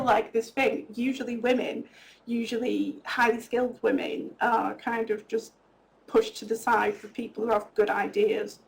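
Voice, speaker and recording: Gravelly woman artist. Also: on the high side, rough recording. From North East Wales. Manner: chatty, lots of "like" fillers. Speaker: artist on a panel. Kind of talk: casual panel talk.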